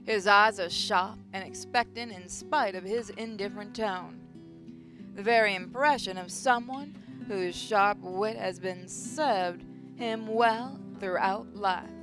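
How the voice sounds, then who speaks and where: A woman reading a visual novel aloud as expressive first-person story narration. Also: medium pitch. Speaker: gaming channel host reading a visual novel. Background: guitar music.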